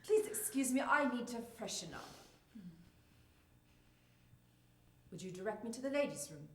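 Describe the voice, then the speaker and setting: A woman plays a prim English fiancée in a drama, formal and easily affronted. Her high, lively voice carries an English accent. She sounds distant from the mic in an echoey, noisy room. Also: fast. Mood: bewildered, polite. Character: polite, indignant English fiancée, prim and bewildered. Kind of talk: dramatic acting.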